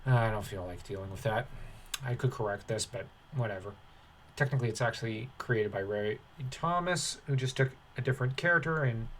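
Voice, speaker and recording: A man's voice: mid-range voice, fairly bright tone, slight background noise. A man reading an article aloud as a commentator, breaking off into dismissive, sardonic, off-the-cuff asides. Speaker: online commentator.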